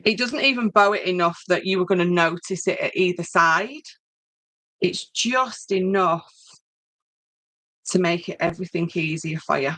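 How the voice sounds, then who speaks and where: Low-pitched Yorkshire woman, chatty crafter. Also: moderate pace, sharp tone, no room sound, poor audio. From Yorkshire, northern England. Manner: chatty, thinking aloud, tag questions. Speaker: craft tutorial host. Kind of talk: informal live craft demonstration, chatting to viewers.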